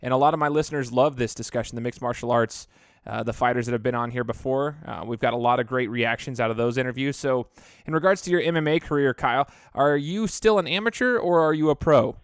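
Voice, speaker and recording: Chatty podcast host, dry close mic. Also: male voice, mid-range voice, fast talker, clear, resonant tone, no room sound. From Idaho. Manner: chatty, rambling with restarts. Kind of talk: casual interview.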